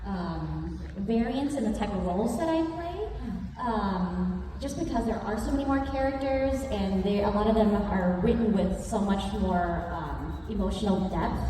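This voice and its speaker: A woman voice actor speaking thoughtfully and a little hesitantly on a convention panel. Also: medium pitch. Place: large convention hall. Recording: echoey hall from far off, noisy.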